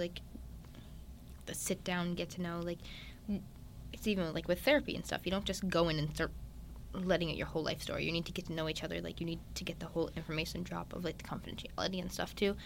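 A young man, a guest in a casual chat, talking openly and at length with lots of fillers and animated retellings. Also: a little high, creaky, slight background noise. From Newfoundland, Canada. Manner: chatty and open, many "like" fillers, animated retellings.

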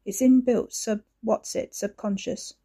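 A woman's voice: medium pitch, steady tone, unhurried, warm tone, breathy edge. Woman doing a sly taunting creepy man. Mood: mocking. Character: creepy mocking monstrous young man.

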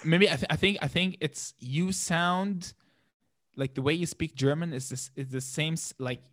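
A man's voice: on the high side, moderate pace, fairly bright tone, no room sound, studio quality. Young man in his twenties, lots of restarts. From Palestine. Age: 20s. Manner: many restarts and repeated words, laughs often. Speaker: guest. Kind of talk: casual interview chat.

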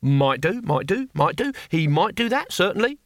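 This is a gruff, plain-spoken old barbarian with a gravelly fry in his voice, as a man puts him on. He talks in a rough drawl, and the sound is dry and close to the mic. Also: high voice, sing-song, moderate pace, no echo, voice pushed up. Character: old, blunt, gruff male barbarian hero.

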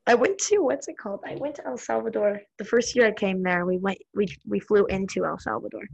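American girl chatting with a friend, lots of "like"s, fry in her voice, bit husky. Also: mid-range voice, brisk, muffled recording. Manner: chatty, filler-heavy, laughs often. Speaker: interviewer. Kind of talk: casual chat.

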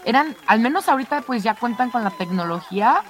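A woman's voice: fairly high pitch, lively intonation, brisk. A woman with a Mexican accent co-hosting a radio show in casual, enthusiastic conversation. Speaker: radio co-host. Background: background music.

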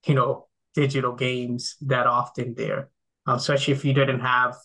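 Bright-voiced man from the Dominican Republic. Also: medium pitch, little pitch movement, normal speed, rough recording. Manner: thoughtful, many fillers and restarts. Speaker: podcast guest, game critic. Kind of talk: casual conversational interview.

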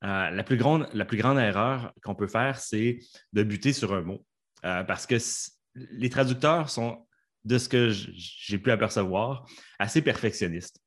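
A man's voice: lowish voice, a little slow. A man from Canada with a Canadian French accent, an interpreter talking in a podcast interview from his home studio, hesitant with many fillers and restarts.

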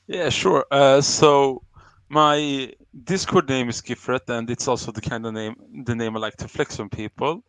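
A man's voice: medium pitch, fairly even intonation, moderate pace, smooth, no echo, low-quality recording. Self-mocking young man telling rambling stories. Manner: rambling storyteller, self-mocking, laughs often. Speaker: podcast co-host. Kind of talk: casual podcast chat.

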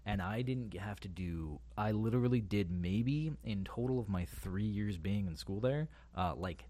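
A man's voice: on the low side, expressive, moderate pace, warm tone. A man in his late twenties co-hosting a podcast, talking in a rambling way and often restarting his sentences. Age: late 20s. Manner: rambling, self-interrupting. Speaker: podcast co-host. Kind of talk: casual chat.

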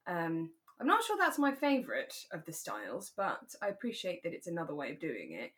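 A woman's voice: fairly low pitch, wildly swooping pitch, brisk, fairly bright tone. A woman co-hosting a podcast, chatting casually and explaining things as she discusses a drama series. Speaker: podcast co-host. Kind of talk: casual chat reviewing a radio drama.

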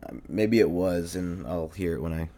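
A man's voice: moderate pace, mellow. A young man hosting his own podcast while sick and congested, talking in a rambling, casual way with lots of fillers and swearing, into a desk mic at home. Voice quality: deep hoarse voice. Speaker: podcast host. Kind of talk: casual solo podcast monologue. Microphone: desk mic near a computer.

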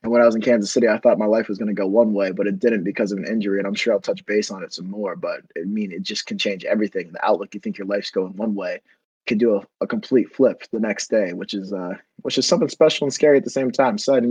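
A man's voice: on the low side, steady tone, quick pace, darkish tone, breathy edge, no echo, dull audio. A man in his twenties hosting a casual podcast, talking loosely with many fillers. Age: 20s. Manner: rambling, filler-heavy. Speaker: podcast host. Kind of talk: casual chat.

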